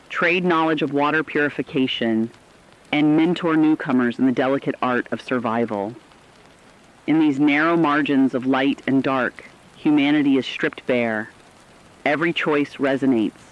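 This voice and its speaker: A dull, lo-fi recording of a man playing a grave radio reporter. The voice is mid-pitched, and the scripted drama narration is formal, solemn and measured. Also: lightly acted. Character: grave radio co-reporter describing survivors of catastrophe.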